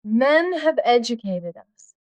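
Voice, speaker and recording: Actress as a poised, flirtatious duchess, highish voice. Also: female voice, big pitch swings, slow pace, darkish tone, no echo, low-quality recording. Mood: playful, coy. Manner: arch, playful. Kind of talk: dramatised audiobook dialogue.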